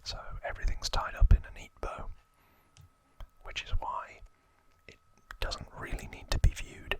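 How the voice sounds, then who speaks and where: ASMR host: whispered throughout, slow and level, informal storytelling, close-miked. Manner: casual, informal recap with repeated words for effect.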